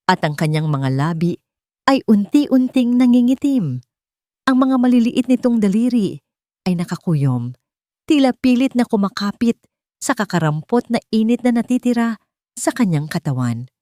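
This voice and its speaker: Filipina woman reading a dramatic story, lowish soft-edged mellow voice, really dry close sound. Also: dry recording. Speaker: story narrator. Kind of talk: dramatic scripted narration of a romance drama story.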